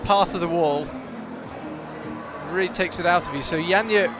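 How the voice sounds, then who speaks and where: A man's voice: sits high, measured pace, music in the background. A man doing live commentary on a climbing competition, chatty and analytical, thinking aloud as the action unfolds in a big hall. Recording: loud crowd in a big hall.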